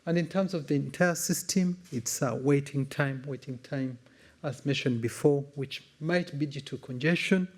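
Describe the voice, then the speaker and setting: A man from Uganda with a Ugandan accent, giving a formal, measured, explanatory slide presentation as a conference speaker. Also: fairly high pitch. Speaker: conference speaker, cancer specialist.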